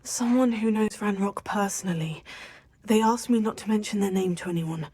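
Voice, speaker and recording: A woman's voice: mid-range voice, moderate pace, warm tone, slightly rough, close to an everyday voice. A woman voicing an earnest teenage girl student witch in a video game. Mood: guarded, firm. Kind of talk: video game dialogue acting.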